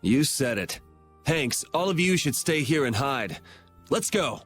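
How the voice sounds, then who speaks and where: A man's voice: medium pitch, expressive, measured pace, close to an everyday voice. An actor playing a confident young male swordsman hero with a dry, blunt, self-assured way of talking. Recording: game music underneath.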